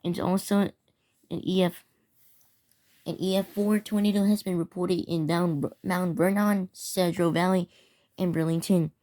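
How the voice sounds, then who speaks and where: Woman reading out an emergency alert script, voice a bit on the low side. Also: fairly even intonation, unhurried, dark tone. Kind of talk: scripted emergency warning announcement.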